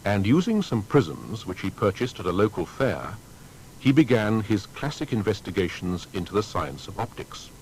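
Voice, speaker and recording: A man's voice: a little mellow, low-quality recording. A man reading scripted documentary narration in an articulate way with emphatic phrasing. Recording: faint noise and low rumble.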